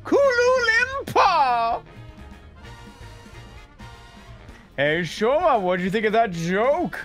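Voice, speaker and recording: A goofy, emotional comedian dad of middle age, acted by a fan dubber for an anime game. The delivery is slow and theatrical, stretching words out and swinging widely in pitch, over faint music. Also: wildly swooping pitch. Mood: clowning. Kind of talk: anime game fandub acting. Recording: light music behind.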